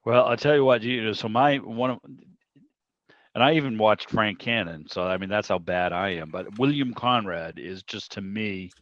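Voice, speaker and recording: Low-voiced rambling man, dull muffled audio. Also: normal speed, fairly bright tone, no room sound. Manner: rambling, digressive, conversational. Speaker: club meeting host. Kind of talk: casual chat on a video meeting. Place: remote video call. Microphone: video call microphone.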